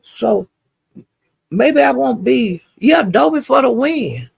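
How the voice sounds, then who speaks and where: An older woman chats off the cuff to her livestream viewers. Her voice sits high and crackles with fry, recorded close and dry. She rambles in a folksy, salty-tongued way. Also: animated, slow pace, darkish tone, dry recording. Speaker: livestream host. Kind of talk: casual chat to live viewers.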